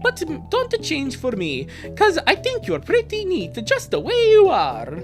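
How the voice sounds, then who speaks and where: A man's voice: extremely high pitch, huge pitch swings, measured pace, over music, voice pushed up, exaggerated voice. A man voicing an earnest, sensitive young child in a heartfelt, pleading way for a fan dub. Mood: tender reassurance.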